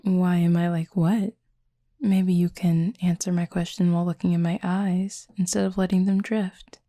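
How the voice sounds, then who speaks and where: A woman voicing a confident, flirty werewolf pack leader in an intimate audio roleplay, with a husky, breathy, gravelly voice and a teasing, sardonic drawl. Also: on the low side, flat delivery, moderate pace, warm tone, no echo, studio quality, natural voice.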